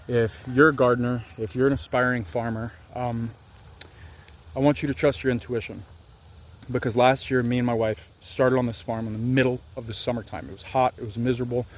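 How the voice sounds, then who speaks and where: A man's voice: medium pitch, darkish tone, a little hiss or hum. Farmer guy in his 30s rambling on about his crops, handheld cam out in the field. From Louisiana, US. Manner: candid, rambling, self-deprecating. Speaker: organic farmer. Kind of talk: casual farm vlog. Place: outdoors on a farm field. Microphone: handheld camera at arm's length.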